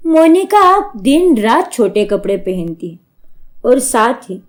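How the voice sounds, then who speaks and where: A woman's voice: a little high, sing-song, takes it slowly. A woman narrating a scripted story as a storyteller.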